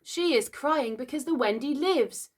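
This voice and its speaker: An earnest young boy in a storybook, played by a woman. His voice is pitched high and moves around a lot. He is loyal and makes his points with emphasis. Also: animated, a little slow. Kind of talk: storybook character voice.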